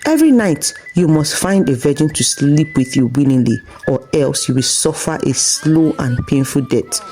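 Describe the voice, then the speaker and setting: A woman's voice: low-pitched, animated, normal speed, dark tone, faint music behind. A woman voicing a stern, commanding spirit pronouncing a deadly curse in a folktale. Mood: grave, ominous.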